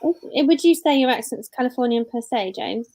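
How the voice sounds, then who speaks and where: A woman's voice: a little high, animated, a little fast, a little mellow. A British woman in her thirties hosting a live stream, talking in a rambling way and often correcting herself. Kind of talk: casual live-stream chat.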